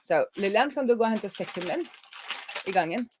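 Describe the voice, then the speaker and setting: A woman's voice: mid-range voice, expressive, a little fast, noisy background. A middle-aged woman with a Norwegian accent, a native Norwegian speaker, hosting a casual livestream and thinking aloud with fillers and restarts. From Norway. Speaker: streamer unboxing a laptop.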